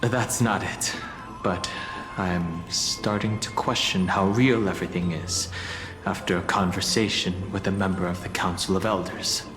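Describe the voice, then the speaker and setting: Low, reserved young guard. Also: male voice, fairly even intonation, unhurried. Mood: pensive, guarded. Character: calm, reserved, dependable young male guard. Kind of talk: video game voice acting. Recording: echoey hall reverb, clear music underneath.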